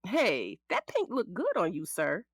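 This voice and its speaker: Sassy, expressive woman lawyer. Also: fairly low pitch, measured pace, slightly rough, dry recording, clean studio sound, full character voice. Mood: teasing, sassy. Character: frank, sassy female lawyer, protective ex-wife of her client. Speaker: actor in an audio drama. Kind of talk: naturalistic scripted drama dialogue.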